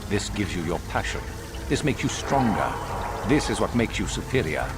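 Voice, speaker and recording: An actor playing a stern, scheming Sith master in a video game, speaking in a formal, measured, pompous way. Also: male voice, on the low side, very animated, very noisy. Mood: solemn, commanding. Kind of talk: theatrical video game villain acting.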